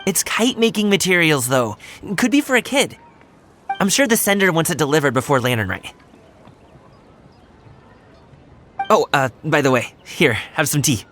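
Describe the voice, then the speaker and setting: A man's voice: a little high, lively intonation, fairly quick, a bit bright, a little hiss or hum. A man voicing a happy-go-lucky young delivery worker in a game, upbeat, chatty and eager to help. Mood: earnest. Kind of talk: animated game voice acting.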